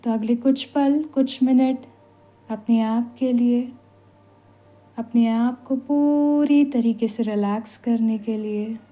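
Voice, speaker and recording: A woman with an Indian accent leading a guided meditation as an instructor, giving soothing, unhurried instructions with long pauses. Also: on the high side, steady tone, mellow. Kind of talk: guided meditation in Hindi with some English phrases. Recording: voice over background music. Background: meditation music.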